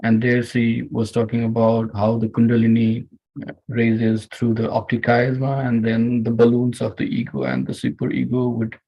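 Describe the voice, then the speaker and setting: Hesitant Nepali-accented man, gravelly creak. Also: medium pitch, steady tone, normal speed. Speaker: meditation session host. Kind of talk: informal personal talk to online group. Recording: muffled, dull lo-fi online audio.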